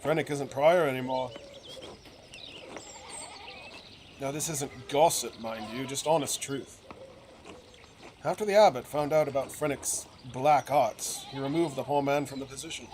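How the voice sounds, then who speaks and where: A man's voice: medium pitch, moderate pace, darkish tone, close to an everyday voice. Man playing a gossipy village man, noisy background. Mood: chatty, gossipy. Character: chatty, gossiping village man, lovelorn and earnest. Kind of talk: game dialogue read aloud in character.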